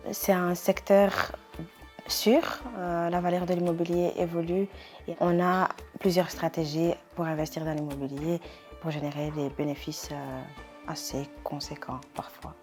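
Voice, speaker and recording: A woman's voice: lowish voice, level tone, unhurried, a little mellow, soft background music. A woman giving an investor testimonial in an interview, speaking hesitantly with fillers.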